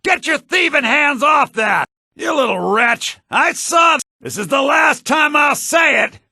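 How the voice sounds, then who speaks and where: A man playing a sly, grasping shopkeeper in a fantasy video game, with a raspy, theatrical delivery, in low-bitrate compressed audio. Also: lively intonation, unhurried, bright, forceful tone, dry recording, raised voice, clean studio sound, heavily acted. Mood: alarmed, angry. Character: sly fantasy male shopkeeper, grasping, quick to yell at thieves. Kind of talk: video game character barks.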